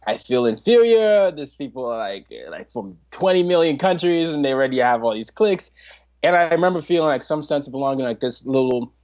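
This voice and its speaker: A man in his twenties, a podcast guest, talking in an animated way, laughing often and restarting his sentences. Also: mid-range voice, brisk, highs cut off. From Nigeria. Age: 20s. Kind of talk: casual interview chat.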